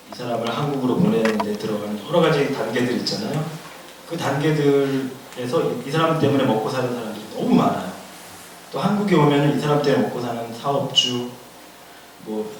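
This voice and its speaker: A man with a cold, his voice hoarse, giving a forum talk and explaining plainly, repeating key words and asking the audience questions. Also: mid-range voice, slight background noise. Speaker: forum presenter. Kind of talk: public lecture. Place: forum room. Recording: echoey room, far from the mic.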